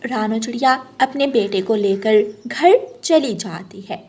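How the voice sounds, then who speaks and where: A woman narrates a children's tale from a script. Her voice sits fairly high in pitch. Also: expressive, a little slow, a little mellow, slightly rough.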